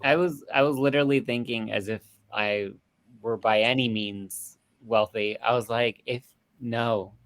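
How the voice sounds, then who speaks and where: A man chatting casually as a film panelist, feeling his way through halting, meandering sentences. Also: mid-range voice, steady tone, fairly bright tone. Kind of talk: casual movie discussion.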